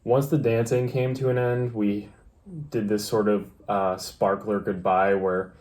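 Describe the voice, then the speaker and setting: A man's voice: lowish voice, little pitch movement, a little slow, a little mellow. A young adult man co-hosting a vlog, telling a story casually in a laid-back, plain-spoken way. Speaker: vlog co-host.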